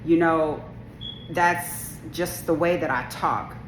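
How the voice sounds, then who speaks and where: A woman with a low, deep voice talks to camera in a casual monologue. Her delivery is strong, powerful and assertive, though she rambles and restarts often. The sound is roomy and echoey, with a faint hum of ambience behind her. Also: slow pace. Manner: rambling, with many restarts and asides. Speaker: video creator. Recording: echoey room.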